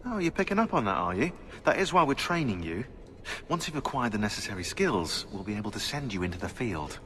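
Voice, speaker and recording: An actor playing a sardonic, eccentric British conspiracy researcher turned assassin in a video game, chatty, opinionated and sarcastic. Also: male voice, fairly low pitch, very animated, fairly quick, a bit bright, soft background music. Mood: matter-of-fact. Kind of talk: game cutscene dialogue.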